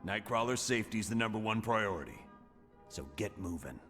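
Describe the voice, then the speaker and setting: A man's voice: lowish voice, animated, moderate pace, fairly bright tone, big-room sound, faint music behind. An actor playing a direct, no-nonsense male commander briefing a team. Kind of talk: mission briefing.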